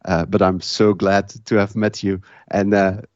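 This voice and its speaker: Man, Flemish accent, Dutch native, halting, low-pitched, muffled. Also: level tone, no room sound. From Belgium, Flanders. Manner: halting, many uh fillers and repeated words. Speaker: event organizer, guest. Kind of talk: casual interview chat.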